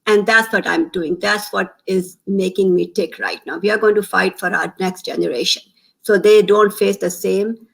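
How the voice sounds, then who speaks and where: Pakistani lady in her 50s, gravelly voice, earnest, lots of ums, mid pitch. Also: steady tone. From Pakistan (Lahore, Punjab). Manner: earnest, many "um" pauses, emphatic lists. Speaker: guest, town comptroller. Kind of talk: candid interview talk.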